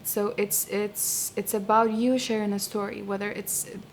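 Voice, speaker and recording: Woman, young adult, Kurdish accent, thoughtful interview answers, monotone, faint hiss. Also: medium pitch, moderate pace. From Iraq, Kurdish region. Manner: reflective, many ums and restarts. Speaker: poet and translator.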